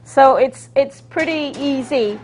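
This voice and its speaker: A middle-aged woman casually demonstrating a recipe, explaining it in a hesitant, halting way. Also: high voice, darkish tone, slight background noise. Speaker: guest cook demonstrating a recipe. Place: TV kitchen studio set.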